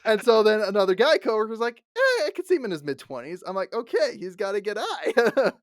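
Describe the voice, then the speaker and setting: A man's voice: high voice, big pitch swings, a little fast, no echo, clean studio sound. A man in his late twenties, co-hosting a podcast in casual chat, laughing often and acting out the people he quotes. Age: late 20s. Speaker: podcast co-host.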